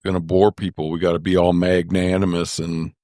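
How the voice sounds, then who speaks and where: Low, slow male host, casual and wry, dead-dry recording. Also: flat delivery, mellow, dry recording. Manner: slow, thoughtful, self-deprecating humor. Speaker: podcast host. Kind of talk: casual podcast chat.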